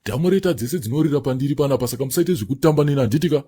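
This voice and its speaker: Cartoon faith healer, played by a man: soft-edged, fast male delivery, puffed-up yet pleading. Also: mid-range voice, animated, slightly rough. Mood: insistent. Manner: pompous, wheedling. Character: self-important male prophet and faith healer pleading at a gate. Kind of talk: comedy cartoon voice acting.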